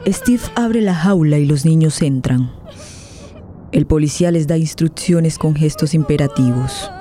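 An actress playing a grave narrator in a tragic radio play, solemn and measured in her descriptions. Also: low-pitched, warm tone, a little hiss or hum. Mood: grave, tense.

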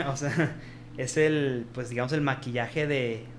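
A man's voice: medium pitch, slow, slight background noise. A man with a Mexican accent in a casual film discussion, retelling scenes and acting out the characters' quoted lines. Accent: Mexican Spanish. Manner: animated storytelling, acts out quoted dialogue, frequent restarts.

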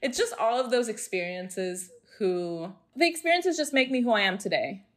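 A young woman from Hong Kong talking in a casual group chat, outspoken and animated, laughing often. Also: fairly high pitch, moderate pace.

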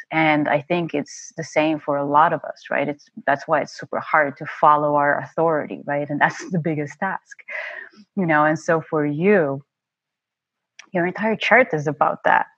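A woman's voice: low-pitched, fairly even intonation, moderate pace, a bit bright, no room sound, highs cut off. A woman hosting a podcast interview about human design, who talks in a chatty way and tags her points with "right?". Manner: chatty, says "right?" often. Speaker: podcast host.

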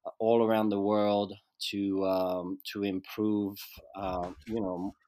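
A man's voice: lowish voice, level tone, takes it slowly, dark tone, smooth, dry recording. A man from Switzerland, a guest actor talking through his life in a thoughtful, searching way. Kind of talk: casual conversational interview.

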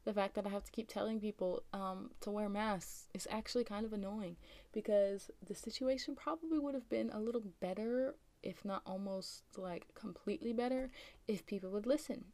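A young American woman rambles through her solo podcast in a mellow, warm tone that sounds a little raspy from just waking up. Her voice sits at a middle pitch and is recorded dry and close, with no room around it. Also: normal speed, dry recording. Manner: rambling, chatty, frequent ums and likes. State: slightly raspy morning voice. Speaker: podcast host. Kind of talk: casual solo podcast chat.

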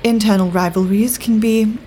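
A woman's voice: mid-range voice, fairly even intonation, normal speed, a crowd in the background, lightly acted. An actress with a British accent playing a cool, worldly 1930s government agent woman in an audio drama, genteel, dry and arch. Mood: coolly detached. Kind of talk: full-cast audio drama acting.

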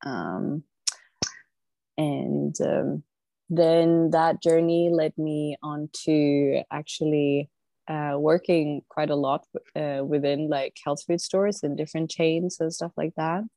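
A woman from southern Sweden, a podcast guest talking casually from home over an online call, thoughtful and unhurried, often pausing to think. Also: low voice, vocal fry, mellow, dry recording. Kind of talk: casual conversational interview. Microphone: remote online call.

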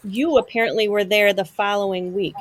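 A woman's voice: medium pitch, fairly even intonation, fairly quick. Chatty woman hosting a live show. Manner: chatty, friendly interviewer. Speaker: show host. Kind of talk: casual live-stream chat.

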